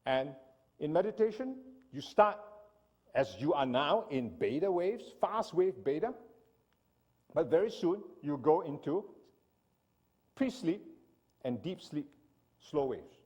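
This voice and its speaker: A man from Singapore giving an animated church seminar talk and often asking his listeners "right?". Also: on the high side, takes it slowly, pauses often, husky. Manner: animated lecturing, asks audience "right?". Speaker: speaker.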